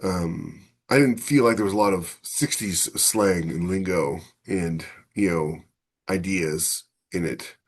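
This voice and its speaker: A man's voice: low-pitched, slow, husky. A man hosting a podcast in casual discussion, thinking aloud conversationally and often checking in with "you know". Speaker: podcast host.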